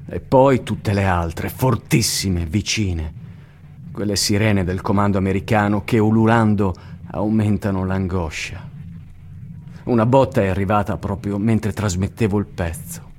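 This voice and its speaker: An actor playing a weary male war correspondent, giving a grave, measured radio theatre reading. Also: low-pitched, a little mellow, a little hiss or hum.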